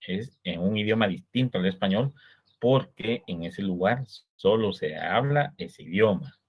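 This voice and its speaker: Low-voiced Guatemalan man lecturing on law. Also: expressive, breathy edge. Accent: Guatemalan Spanish. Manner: measured, explanatory, poses rhetorical questions. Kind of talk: recorded lecture. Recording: dull lo-fi recording.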